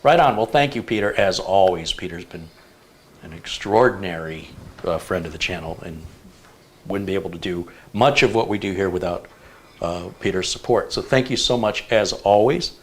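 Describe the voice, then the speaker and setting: Man, host, low voice, casual live-stream chat, faint background noise. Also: moderate pace. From United States. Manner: relaxed, chatty, thinking aloud with fillers. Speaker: show host.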